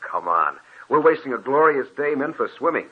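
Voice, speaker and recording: A cheerful, sporty young man in an old radio drama, talking quickly with lots of lift. His voice is very raspy and comes through a muffled, lo-fi broadcast. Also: medium pitch, very animated. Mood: breezy. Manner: brisk, breezy. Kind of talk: 1940s radio acting.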